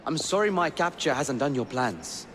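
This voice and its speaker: An actor playing a gentle, apologetic male ally in a game, speaking in an earnest, hesitant way. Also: a little high, expressive, normal speed, background noise, natural voice. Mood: concerned. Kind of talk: video game cutscene acting.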